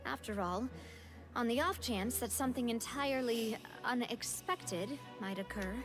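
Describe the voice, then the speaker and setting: An assertive young woman prosecuting in an anime game, voiced by an actress. Her high voice is earnest and pointed, building each challenge deliberately over clear music. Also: natural voice. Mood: composed. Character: assertive young female amateur prosecuting attorney.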